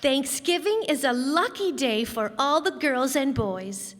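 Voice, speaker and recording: A woman acting as a nine-year-old schoolgirl with a high, lively voice. She is earnest and dutiful, speaking in a singsong old radio sitcom style. The large hall adds long reverb. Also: a little slow. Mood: earnest reciting. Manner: singsong, literal-minded reciting. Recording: echoey hall, live stage performance.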